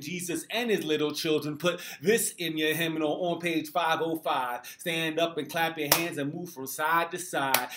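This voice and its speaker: An American man reciting spoken word poetry with rhythmic, deliberate phrasing. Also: fairly high pitch, sharp tone. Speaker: poet.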